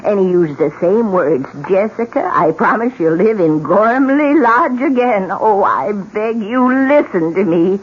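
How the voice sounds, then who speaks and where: An actress playing a bitter, menacing old widow with a husky voice and ominous, theatrical delivery in a 1970s radio drama. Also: female voice, medium pitch, animated, measured pace, hardly any pauses, dull audio. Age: older.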